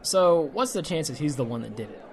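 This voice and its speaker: An actor playing a dry, deadpan, skeptical sidekick in a comedic fantasy audio drama. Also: male voice, a little high, fairly quick. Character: deadpan male skeptical sidekick at a magic convention.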